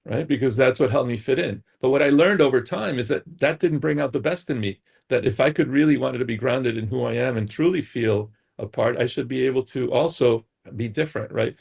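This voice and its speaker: Gravelly, husky-voiced man explaining ideas. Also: medium pitch, a little fast, hardly any pauses, a little mellow. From United States. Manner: explains with frequent "right?" check-ins. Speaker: guest expert. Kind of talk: explanatory talk-show conversation.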